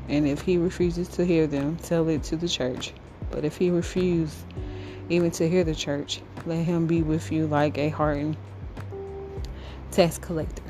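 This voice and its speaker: A woman podcast host reading the Bible aloud in a devotional style, halting in short phrases with occasional stumbles. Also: low-pitched, rough recording. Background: music under the voice.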